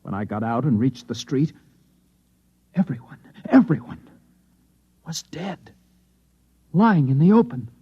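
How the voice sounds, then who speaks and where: A haunted Martian man in an old radio drama. He speaks haltingly and earnestly in a dark, husky voice. The recording is dull and aged. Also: mid-range voice, very animated. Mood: haunted, distressed. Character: dismal, shaken Martian man, last of his kind.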